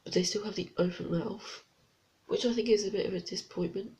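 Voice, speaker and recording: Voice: medium pitch, fairly even intonation, fairly quick, a little mellow. A reviewer with a blocked, congested nose, chatting casually through a toy set review with unsure, rambling asides. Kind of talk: casual product review vlog.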